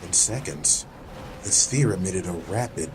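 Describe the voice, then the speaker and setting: A man narrating a fantasy audiobook in a dramatic, measured, literary storytelling style. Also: low voice, expressive, a crowd in the background, rough recording. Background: music.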